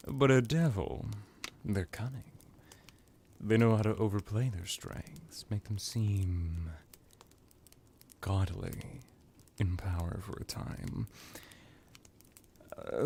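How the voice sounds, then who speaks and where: Man playing a slow, deep, smug ancient vampire. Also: lively intonation, a little mellow, slight background noise. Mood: teasing, condescending. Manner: sarcastic, condescending, drawling with dramatic pauses. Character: ancient smug male vampire crime lord, cunning and theatrical. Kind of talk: ASMR roleplay.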